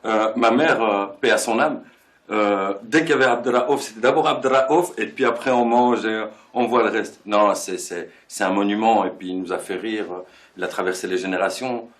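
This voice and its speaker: Tailor from Belgium, male, lowish voice, casual and halting, circles back on phrases. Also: a bit bright. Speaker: tailor and clothing designer. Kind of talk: casual interview talk.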